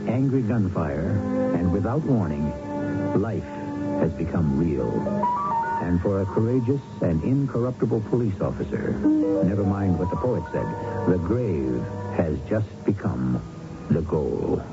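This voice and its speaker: A man hosting a radio mystery with grave, deliberate, portentous scripted narration on a muffled old broadcast, music behind. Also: low-pitched, sing-song. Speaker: host narrator.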